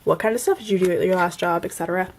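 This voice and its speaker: A woman's voice: lowish voice, very fast, darkish tone, slight background noise. Chatty young woman with a stuffy cold. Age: young adult. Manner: chatty, laughs often, many fillers. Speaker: vlogger. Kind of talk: casual chat to camera.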